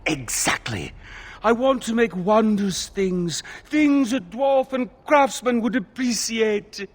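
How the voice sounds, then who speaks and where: High-voiced, fussy old craftsman. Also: expressive, slow, full character voice. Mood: conspiratorial, aggrieved. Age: older. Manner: affected, precious, breathlessly dramatic. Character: fussy, theatrical old male master craftsman, dreamy perfectionist. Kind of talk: fantasy video game acting.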